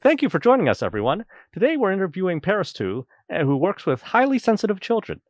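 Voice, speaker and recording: A man's voice: sits high, huge pitch swings, quick pace, dry recording. A man from Canada hosting an interview, chatty and friendly, often restarting his sentences with fillers. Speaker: podcast host. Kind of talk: casual interview.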